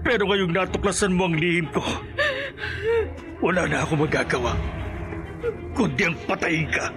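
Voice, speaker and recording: A man's voice: fairly high pitch, moderate pace, over music, natural voice. Man acting an anguished, menacing killer husband. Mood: remorseful, resolved. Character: desperate murderous husband bound by a pact with Satan. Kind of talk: Filipino radio horror drama acting.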